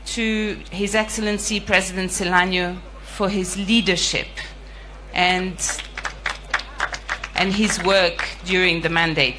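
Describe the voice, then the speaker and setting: A middle-aged woman gives a formal diplomatic address outdoors, her voice clear and bright, with a moderate pitch. She speaks in measured, deliberate phrases with pauses, close to a handheld microphone. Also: poor audio. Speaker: EU diplomat giving an official address. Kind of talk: formal ceremonial speech. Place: outdoor ceremony with a large seated audience. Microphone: handheld microphone held close.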